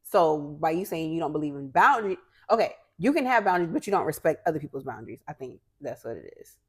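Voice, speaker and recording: A young woman with a fairly low voice leads the conversation as a podcast co-host. She talks in an animated way, asks questions and laughs often. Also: fast, breathy edge. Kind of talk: casual chat.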